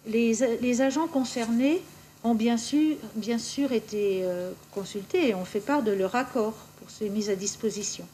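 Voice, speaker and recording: Woman, high-ish mellow voice, formal council report, background noise and room ambience. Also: unhurried. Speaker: municipal official presenting a council resolution. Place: municipal council meeting.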